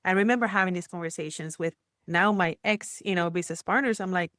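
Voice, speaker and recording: A woman from Puerto Rico with a Puerto Rican accent, a guest entrepreneur telling her story in a chatty, animated way with many fillers. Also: fairly low pitch, fast talker, dry recording, studio quality. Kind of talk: casual interview chat.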